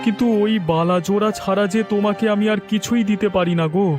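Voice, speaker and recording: A man voicing a poor, worried village father and fisherman, plaintive and humble, in an animated story. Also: high-pitched, quick pace, lightly acted. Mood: worried, tender. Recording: faint music behind the voice.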